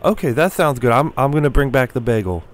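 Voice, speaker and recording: A young man making a prank phone call as a confused customer, hesitant, bumbling and deadpan. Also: mid-range voice, fast talker, a little hiss or hum. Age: young adult. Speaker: prank caller. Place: small cluttered room indoors. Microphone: microphone on a stand, close.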